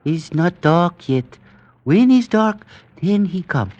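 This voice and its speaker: An actor playing a gentle, superstitious ship's steward in a vintage radio drama, speaking clipped broken English with quiet fatalism. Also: male voice, high-pitched, sing-song, slow pace, heavily acted. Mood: anxious, resigned. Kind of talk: classic radio drama acting. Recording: dull vintage radio recording.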